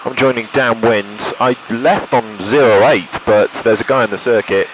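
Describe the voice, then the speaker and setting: A man's voice: mid-range voice, steady tone, normal speed, lo-fi sound, noisy background. A British man giving a casual commentary from a light aircraft cockpit, thinking aloud and making radio calls into a headset. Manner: thinks aloud while concentrating. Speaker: pilot and vlogger.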